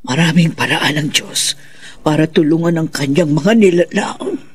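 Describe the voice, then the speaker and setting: A performer playing a devout, resolute woman exorcist elder, solemn and assured, with a husky voice in a radio drama. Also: medium pitch, normal speed, slight background noise, lightly acted.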